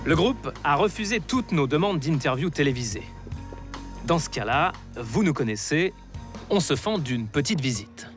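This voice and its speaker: A man's voice: on the high side, big pitch swings, moderate pace, faint music behind. A man narrating an investigative documentary in a scripted, wry voice-over. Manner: wry, ironic.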